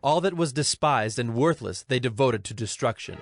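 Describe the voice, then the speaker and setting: A male narrator reads scripture as formal storytelling. His voice is mid-pitched, and soft music sits faintly behind it. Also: animated, moderate pace. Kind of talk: scripted audio Bible narration.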